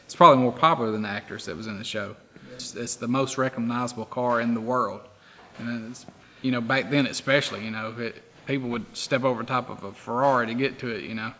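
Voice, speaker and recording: A man in his forties talks in a loose, easygoing way, circling back with fillers as he chats. His voice is bright and forward. A faint roomy reverb lingers behind him. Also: mid-range voice, fast talker, a little hiss or hum. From Tennessee. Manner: relaxed, rambling, many "you know" fillers. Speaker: car museum owner. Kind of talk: documentary interview. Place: garage workshop full of memorabilia.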